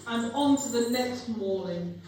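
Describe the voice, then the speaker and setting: A woman's voice: on the high side, measured pace, dark tone, natural voice. A young woman narrating a scripted story in a live dramatic reading. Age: young adult. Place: museum room, live performance. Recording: echoing hall, distant mic, faint noise.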